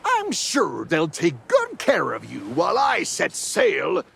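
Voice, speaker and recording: An actor playing a gloating, theatrical undead pirate villain in a video game, with a gravelly voice and a grandiose, mocking drawl. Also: male voice, fairly high pitch, huge pitch swings, slow pace, fairly bright tone, exaggerated voice. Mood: gloating menace.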